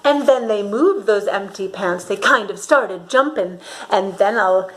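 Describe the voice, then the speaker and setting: A woman reading a storybook aloud to young children in an expressive, dramatic way, pausing to ask her listeners questions. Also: medium pitch, rough recording.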